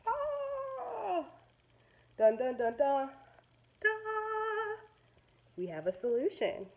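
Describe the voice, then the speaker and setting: Slow-paced woman reading a storybook to children. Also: very high voice, soft background music. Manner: expressive, dramatic, stops to explain words simply. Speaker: second-grade teacher reading a storybook aloud. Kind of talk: storytime read-aloud with asides to children.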